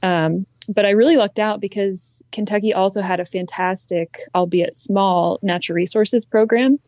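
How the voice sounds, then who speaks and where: A young woman from Ohio, a conservationist, talking in a relaxed, reflective way as a guest in an interview. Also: medium pitch, moderate pace, no echo, lo-fi sound. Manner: relaxed, reflective, frequent ums. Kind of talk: casual interview conversation.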